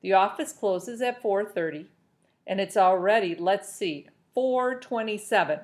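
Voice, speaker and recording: A woman's voice: medium pitch, unhurried, lightly acted. A woman reading as a dismissive male town clerk from a children's novel. He is condescending and smug, coating his brush-offs in artificial politeness.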